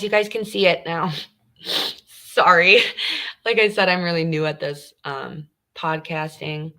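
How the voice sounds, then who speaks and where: A woman's voice: deep voice, bright, forceful tone. A woman hosting a true crime livestream podcast, talking casually and earnestly with lots of ums. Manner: earnest, chatty, with many fillers.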